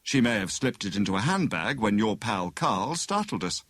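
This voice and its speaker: Man acting a breezy, flippant captive adventurer, lively pitch. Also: slight background noise. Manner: breezy, unhurried banter. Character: cheerful, flippant young male adventurer held captive. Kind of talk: dramatised audiobook dialogue.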